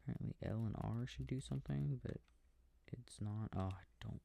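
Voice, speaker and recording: A man softly rambling to himself as he plays a video game for a sleep aid, often dropping into whispers, with a breathy, gravelly voice close to the mic. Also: medium pitch, moderate pace, dry recording. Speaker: audio creator playing a video game. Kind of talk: soft rambling gameplay talk for a sleep aid. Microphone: close, dry microphone.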